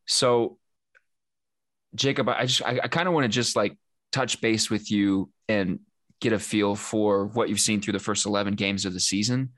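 A man's voice: fairly low pitch, steady tone, normal speed, fairly bright tone, no echo, clean studio sound. A man hosting a sports podcast, talking in a loose, conversational way with frequent fillers and restarts. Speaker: podcast host. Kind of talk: casual sports podcast chat.

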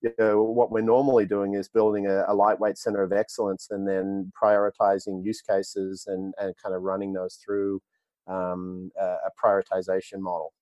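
A man's voice: sits low, level tone, moderate pace, darkish tone, no room sound, low-quality recording. A man with an Australian accent, answering questions as a tech company executive, often restarting and repeating words. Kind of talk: podcast interview answers.

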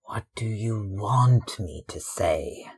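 A sullen young man, blunt and suspicious, speaks curtly in a husky voice, as a man reads him. The delivery is slow and weighty. It is a storybook reading. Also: fairly low pitch, darkish tone, full character voice. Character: sullen, brooding young man about to leave home.

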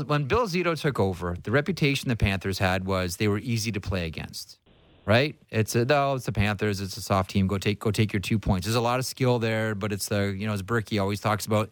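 A man's voice: on the low side, no echo. A man hosting a sports talk show, chatting and asking questions in a conversational, opinionated way. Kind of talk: casual hockey talk and interviewing.

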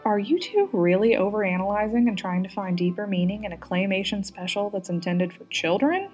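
A woman voicing a haughty, sharp-tongued, sarcastic Englishwoman in audiobook dialogue. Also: mid-range voice, animated, brisk, soft background music, natural voice. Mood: derisive. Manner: derisive, snorting, cutting.

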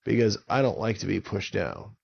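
A man voicing a gentle Bigfoot creature who stammers and speaks in a hurt, reproachful way. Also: on the low side, big pitch swings, normal speed, creaky, breathy edge, dry recording, full character voice. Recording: dull lo-fi recording.

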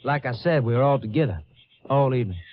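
A man's voice: medium pitch, expressive, fast talker, natural voice. Nervous american cowhand guy acting, folksy, stammers and dodges, noisy muffled old western radio. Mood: uneasy, evasive. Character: evasive, nervous Western work-crew man under questioning. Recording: dull noisy 1950s radio recording.

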